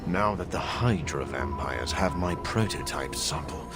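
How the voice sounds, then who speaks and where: An actor playing a brooding male vampire scientist in a video game, speaking formally with grave, measured delivery. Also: rumbling low, animated, over music. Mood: grim, troubled. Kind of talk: dramatic video game acting.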